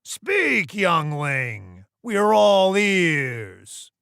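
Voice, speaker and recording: Guy doing a stern bossy cartoon exec, loud n condescending, talks over everyone from up high, dry recording. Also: sing-song, extremely slow, clear, resonant tone, dry recording, full character voice. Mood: mocking, commanding. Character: stern, commanding, dismissive male boss in furry sci-fi.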